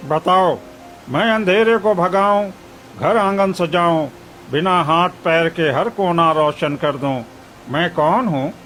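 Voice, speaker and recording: High-voiced mysterious male lake spirit acted by a man. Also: takes it slowly, fairly bright tone, faint music behind, poor audio. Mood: testing, mysterious. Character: mysterious lake spirit, riddle-setting guardian of sacred water. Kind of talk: Hindi moral-story cartoon dialogue.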